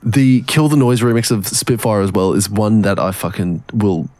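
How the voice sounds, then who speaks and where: A man with a croaky, strained voice, co-hosting a podcast in casual, sweary, enthusiastic music review chat. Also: on the low side, normal speed, a little mellow, no room sound. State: voice strained from singing. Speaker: podcast co-host.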